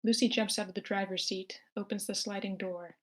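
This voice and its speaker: A woman's voice: mid-range voice, fairly even intonation, fairly quick. A woman narrating screenplay action lines aloud at an amateur table read, in a plain manner with occasional stumbles. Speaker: stage-direction narrator.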